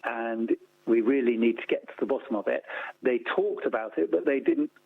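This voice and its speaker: Heard down a thin, narrow phone line, a man answers as an interview guest. His voice is husky and creaky, and he lays out his points in a measured, orderly way. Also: mid-range voice. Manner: measured, structured, enumerating points. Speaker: interview guest, report author and organisation founder. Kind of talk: explanatory radio interview. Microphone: telephone.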